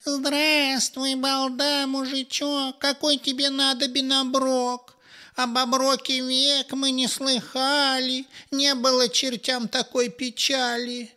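A man's voice: very high voice, monotone, slow pace, bright, forceful tone, smooth, pitched above the performer's own voice, full character voice. A man voicing a small young imp boy from a fairy tale, with a thin voice mewling like a hungry kitten. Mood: whiny, haggling.

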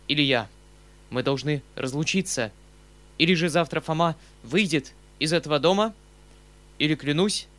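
Man acting an agitated, emphatic gentleman uncle. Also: a little high, lightly acted. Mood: agitated, defiant. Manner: emphatic, breathless, rushed exclamations in short bursts. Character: agitated middle-aged gentleman uncle, kind-hearted, flaring into commanding anger.

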